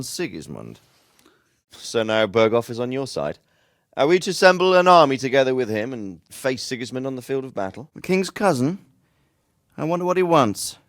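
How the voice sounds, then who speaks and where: Game role: middle-aged noble father figure , male actor; dignified, measured wording, lively intonation, dry studio sound. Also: medium pitch, no echo, close to an everyday voice. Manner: formal, reflective, measured. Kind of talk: video game voice acting.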